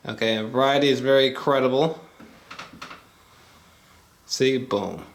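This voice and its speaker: A man chatting casually and without a script as a movie reviewer, rambling, excitable and swearing freely. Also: medium pitch, unhurried, slight background noise. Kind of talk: casual unscripted chat review. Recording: roomy echo, distant mic.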